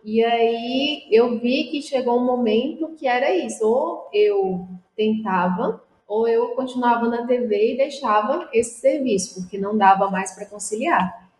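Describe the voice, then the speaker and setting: A woman's voice: a little high, a little slow, a little mellow. Woman in an echoey room. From Luziânia, Goiás, Brazil. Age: young adult. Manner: reflective, with frequent "e aí" and "assim". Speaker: guest, former TV reporter, communications business owner. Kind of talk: conversational interview answers.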